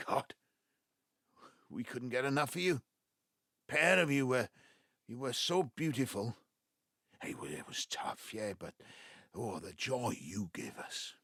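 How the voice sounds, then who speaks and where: A man's voice: lowish voice, fairly bright tone, slightly rough, dry recording, studio quality, full character voice. An actor playing a proud, sentimental estranged father in his seventies with a Welsh accent, in a naturalistic audio drama. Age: 70s.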